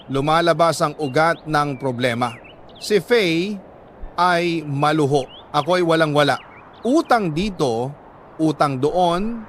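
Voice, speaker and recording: A Filipino man narrating a confessional love story in an emotive, deliberate way, with dramatic pauses. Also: a little high, fairly bright tone, a little hiss or hum. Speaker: radio host and storyteller reading a listener's letter.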